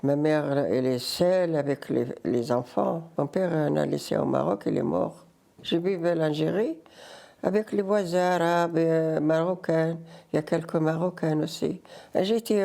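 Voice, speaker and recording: An older Berber-speaking woman from Morocco and Algeria with a North African accent, telling her life story in halting French mixed with Arabic. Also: sits low, creaky, dark tone. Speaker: interviewee, grandmother. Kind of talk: casual life-story interview.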